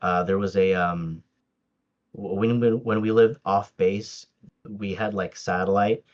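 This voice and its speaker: A man's voice: deep voice, steady tone, measured pace, a little mellow, muffled recording. A man whose first language is Tagalog, chatting casually as a podcast guest, with lots of fillers and restarts. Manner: hesitant, many fillers and restarts, laughs often. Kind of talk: casual interview chat.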